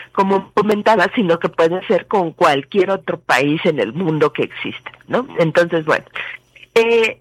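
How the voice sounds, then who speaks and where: A woman with a Mexican accent, speaking as a legal expert guest and explaining things methodically. She pauses often and fills the gaps with short fillers. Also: on the low side, no echo, rough recording. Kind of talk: explanatory commentary.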